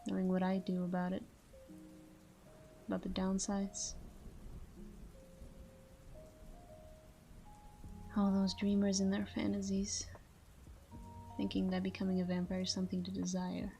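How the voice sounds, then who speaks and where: Casting: actress as tender, brooding female vampire for sleep-aid audio drama; mellow, even-pitched, hushed and intimate delivery, light music underneath. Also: normal speed, pauses often, close to an everyday voice. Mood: wistful, regretful. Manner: soft, tender, breathy murmur slipping into whispers. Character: caring, tormented female vampire lover watching her sleeping partner. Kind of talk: intimate scripted ASMR roleplay monologue.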